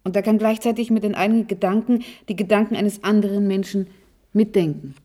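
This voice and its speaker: A woman's voice: medium pitch, fairly even intonation, fairly quick, warm tone, close to an everyday voice. An actress playing an old, commanding, impatient female leader in a radio play, speaking formally and insistently. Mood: composed, explanatory. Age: older.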